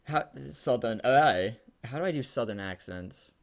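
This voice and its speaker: A man's voice: medium pitch, animated, a little slow. A young American man co-hosting a comedic story reading, chatting casually in an incredulous, sarcastic way and swearing freely. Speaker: co-host of a comedic read-aloud show. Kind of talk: casual chat, mocking commentary on a story.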